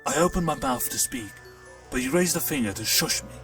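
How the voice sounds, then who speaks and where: A man narrating a short audiobook in the first person with an expressive storytelling delivery. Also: medium pitch, a little fast. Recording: distant mic, faint music.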